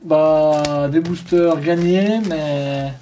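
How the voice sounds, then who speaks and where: A man's voice: a little high, steady tone, very slow, warm tone, recorded from a distance, a little hiss or hum. A young man chatting as a co-host, mostly giving short reactions and repeating card names. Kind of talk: casual chat. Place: small room indoors. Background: faint music.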